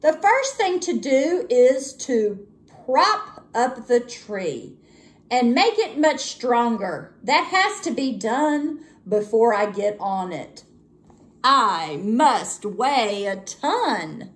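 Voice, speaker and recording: A huge, kindly storybook elephant, acted out by a woman, earnest and faithful. He speaks slowly and deliberately, with big expressive swings in pitch. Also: heavily acted. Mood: thoughtful. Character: gentle, earnest, faithful male elephant, immense.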